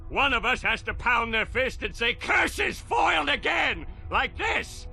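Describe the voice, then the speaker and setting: Shrill, shouting little villain. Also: male voice, big pitch swings, a little slow, vocal fry, breathy edge, faint music behind, exaggerated voice. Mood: indignant, theatrical. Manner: theatrical, shouting, exasperated. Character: small, indignant, bombastic cartoon villain. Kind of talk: cartoon game voice acting.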